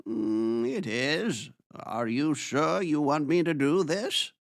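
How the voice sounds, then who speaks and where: A man playing a grave, theatrical old wizard in a fantasy drama, speaking in deliberate pronouncements. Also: fairly high pitch, gravelly, dry recording, clean studio sound. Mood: gentle, solemn. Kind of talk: fantasy audio drama acting.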